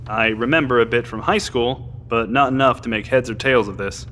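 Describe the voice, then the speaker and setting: A man's voice: mid-range voice, moderate pace, a bit bright, slight background noise, close to an everyday voice. An actor playing a deferential, dutiful male soldier with a dry wit in a naturalistic audio drama. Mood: businesslike.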